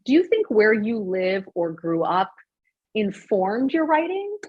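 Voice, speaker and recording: A woman's voice: mid-range voice, sing-song, unhurried, slightly rough, dull audio. A woman hosting a casual, chatty interview. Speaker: interview show host.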